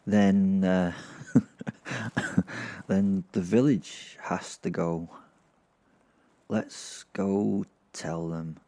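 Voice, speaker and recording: A man's voice: low voice, fairly even intonation, very slow, long pauses, mellow. A man telling a story as podcast host, in an informal, rambling way. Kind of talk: first-person dramatic fantasy narration.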